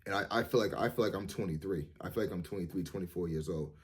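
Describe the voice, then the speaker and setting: Deep-voiced man in his thirties, rambling. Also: quick pace. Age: 30s. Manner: rambling, repeats words, many fillers; earnest, motivational. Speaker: vlogger. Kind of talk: casual monologue to camera.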